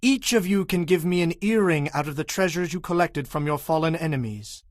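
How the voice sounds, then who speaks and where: An actor playing a resolute, stern Israelite war leader in a dramatized audio Bible, with emphatic, declamatory delivery. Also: male voice, fairly high pitch, lively intonation, fairly quick, no echo, studio quality, natural voice. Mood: firm, resolute.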